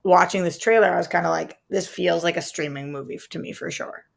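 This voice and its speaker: A woman speaks as a co-host in a relaxed movie discussion. Her voice sits fairly low with plenty of vocal fry. She hedges and rephrases as she goes, in a conversational manner. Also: quick pace. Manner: hedging, conversational, quotes own thoughts. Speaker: podcast co-host.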